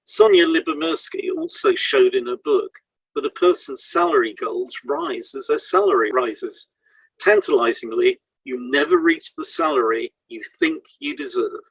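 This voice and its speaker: A man's voice: mid-range voice, normal speed, fairly bright tone, breathy edge, no room sound, rough recording. A man reading a scripted educational piece aloud as a podcast co-host.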